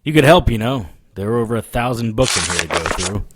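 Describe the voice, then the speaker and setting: An actor playing a gruff, sardonic occult investigator in a noir audio drama, wry and grumbling. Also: male voice, on the low side, lively intonation, fast talker, very noisy, natural voice. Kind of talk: audio drama acting with noir first-person narration.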